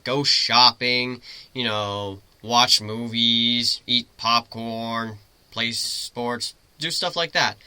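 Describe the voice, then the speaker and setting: Male reader as wisecracking griffin hero, informal and teasing, sharp bright tone, dry close sound. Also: medium pitch, dry recording, exaggerated voice. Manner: informal, teasing, rambling explanations. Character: cocky, joking male griffin, formerly a troubled human.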